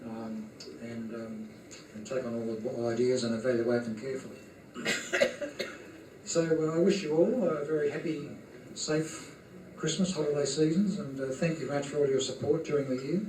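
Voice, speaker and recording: A man's voice: mid-range voice, steady tone, moderate pace, darkish tone, slight background noise. A man giving a chairman's speech at an evening party, rambling and hesitant with many fillers. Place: function room at an evening party. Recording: echoey room, far-off mic.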